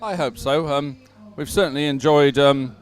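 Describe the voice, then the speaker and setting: A man's voice: on the high side, moderate pace, clear, resonant tone. A man hosting live sports coverage, chatting casually and commentating with a lightly joking manner. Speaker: host and commentator.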